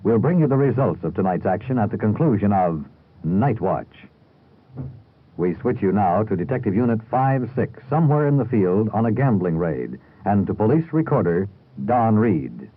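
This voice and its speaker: A man's voice: on the low side, big pitch swings, slightly rough. A man reading a formal, scripted introduction as the announcer of a radio program. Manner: measured, formal announcer delivery. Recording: tinny old 1950s radio broadcast.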